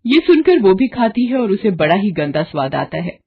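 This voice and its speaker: A woman reads a scripted cartoon tale as its narrator. Her voice has a husky, breathy edge and a middle pitch, and the recording is slightly dull. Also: sing-song, normal speed. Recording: dull lo-fi audio.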